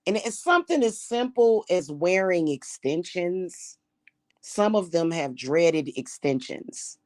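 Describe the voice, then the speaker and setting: A woman's voice: fairly low pitch, sing-song, slow pace, a little mellow, no echo. Blunt, scornful woman with a Missouri accent. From Kansas City, Missouri. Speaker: spiritual practitioner and channel host. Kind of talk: casual monologue to viewers.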